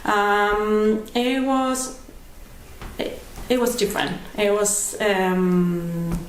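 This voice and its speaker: A woman's voice: mid-range voice, fairly even intonation, extremely slow, slight background noise. A woman from Uruguay with a Uruguayan Spanish accent, a native Spanish speaker recalling her arrival with hesitant, restarting sentences. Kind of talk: documentary interview. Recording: roomy echo.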